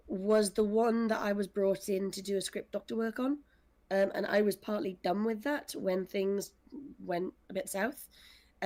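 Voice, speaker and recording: A woman with a medium-pitched voice talks casually on a panel as an audio drama writer and director. Her way of talking is dry and wry. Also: a little mellow.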